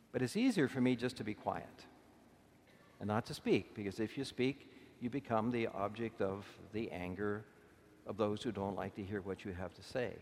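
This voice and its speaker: A middle-aged male bishop preaches from the pulpit at an ordinary pitch. His delivery is conversational, but he slows down and leans on key words. His voice rings out into a long church reverb. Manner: conversational preaching, slow deliberate emphasis. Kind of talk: sermon.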